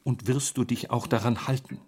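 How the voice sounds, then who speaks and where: An actor playing a grave, authoritative elder brother and government official in a radio play, stern and formal. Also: male voice, mid-range voice, steady tone, normal speed, a little mellow, husky, close to an everyday voice. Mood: resigned, stern. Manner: stern, formal, insistent warning.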